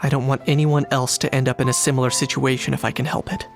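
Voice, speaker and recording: A man voicing a composed, blunt, principled young knight in a video game, speaking in a measured, matter-of-fact way. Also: medium pitch, level tone, vocal fry, close to an everyday voice. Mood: calm, resolute. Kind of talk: scripted game dialogue. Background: music.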